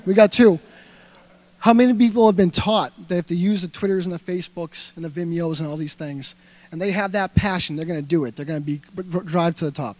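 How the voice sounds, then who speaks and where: A man with a Boston accent giving a lively stage talk, firing questions at the audience with plenty of fillers. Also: sits high. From Massachusetts. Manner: punchy, rhetorical questions to the crowd, filler words. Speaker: speaker at a live talk event. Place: indoor event hall.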